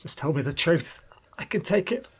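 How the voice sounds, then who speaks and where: A man playing an exhausted, frostbitten sailor in a radio play, loyal but stubborn, with a strained, pleading, husky voice. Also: a little high, very animated, normal speed, exaggerated voice. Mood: pleading, upset.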